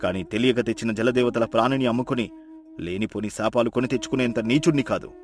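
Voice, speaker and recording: A man's voice: mid-range voice, quick pace, natural voice. A man voicing an honest, humble and principled poor village fisherman in a moral folktale. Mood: firm, indignant. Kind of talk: animated moral folktale acting. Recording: music behind.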